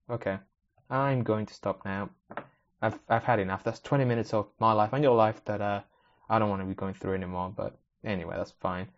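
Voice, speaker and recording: A man whose first language is Spanish, hosting a casual solo podcast, thinking aloud in a rambling, wry way that often breaks off mid-sentence. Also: medium pitch. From Spanish-speaking country, moved to the UK at age ten. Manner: rambling, self-interrupting, wry. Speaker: podcast host. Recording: slightly dull, lo-fi recording.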